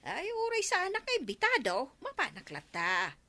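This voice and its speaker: Bright, animated blunt Ilocano village auntie played by a woman. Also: very high-pitched, normal speed, full character voice. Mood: indignant. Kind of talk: Ilocano radio comedy drama acting.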